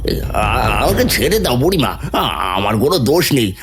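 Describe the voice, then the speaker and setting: A man's voice: medium pitch, very animated, fairly quick, natural voice. A man playing a cowardly, wheedling village thief in a fairy-tale cartoon, full of pleas and excuses. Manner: pleading, excuse-making. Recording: music under the voice.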